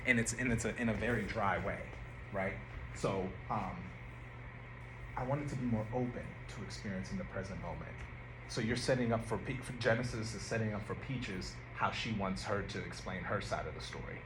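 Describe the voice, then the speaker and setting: A man's voice: fairly low pitch, brisk. Man giving rehearsal notes in a roomy space. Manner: conversational, searching for words. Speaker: director. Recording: roomy and noisy rehearsal room.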